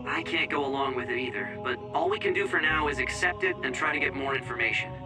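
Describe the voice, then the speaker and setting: A man's voice: mid-range voice, quick pace, over music, natural voice. A man playing a pragmatic, level-headed young soldier in a video game. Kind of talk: scripted video game voice acting.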